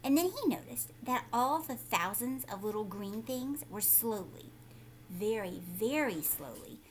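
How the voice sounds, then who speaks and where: A woman's voice: fairly high pitch, expressive, a little slow, slight background noise, low-quality recording. A woman teacher reading a children's story aloud, speaking expressively and emphatically, with explanatory asides for young listeners.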